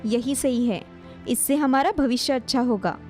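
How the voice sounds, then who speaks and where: Woman as jealous young daughter-in-law for cartoon tale, high voice, dramatic complaints. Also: normal speed, a little mellow, soft background music, lightly acted. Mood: resentful.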